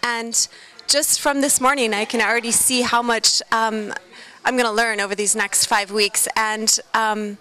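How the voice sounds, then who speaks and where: Bright, high-voiced American woman. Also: level tone, measured pace. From United States. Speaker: culinary student. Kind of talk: interview testimonial. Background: music behind the voice.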